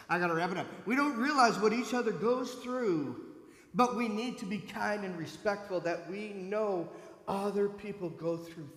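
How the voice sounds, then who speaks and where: A man over fifty giving an earnest, conversational personal testimony to a church congregation, speaking directly to his listeners. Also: high voice. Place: church sanctuary. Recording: echoey hall with long reverb.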